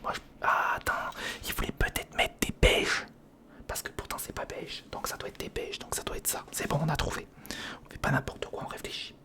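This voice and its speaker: Asmr person whispering super breathy, muttering casual stuff to themselves while building, repeats words a lot. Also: a little fast, pushed and bright. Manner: muttering to self, repetitive, informal slang. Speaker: ASMR video creator. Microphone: close-up studio condenser or handheld recorder.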